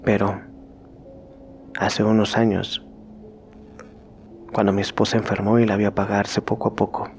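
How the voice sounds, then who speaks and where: A man reading out a horror story in slow, hushed scripted narration that sometimes sinks to a whisper. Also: on the low side, flat delivery, gravelly, slight background noise.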